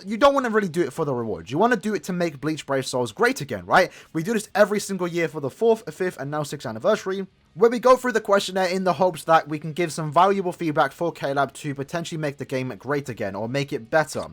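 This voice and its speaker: A young man in his twenties talking fast, with a fairly high voice. He rambles casually through game commentary, addressing his viewers directly. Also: fairly bright tone. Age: 20s. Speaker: gaming YouTuber.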